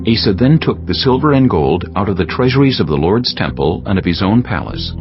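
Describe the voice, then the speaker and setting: A man narrating scripture as a scripted audiobook, in a solemn, measured storytelling manner. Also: lowish voice, big pitch swings. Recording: dull sound with music bed.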